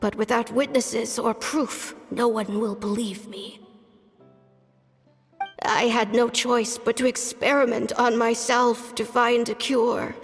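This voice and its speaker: A frail old witch-doctor in a game, weary and wry, speaking slowly through sighs and coughs. Her voice is gravelly and slightly high. It rings with a long hall reverb over soft music. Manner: slow, weary, self-mocking. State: sickly, sighing and coughing.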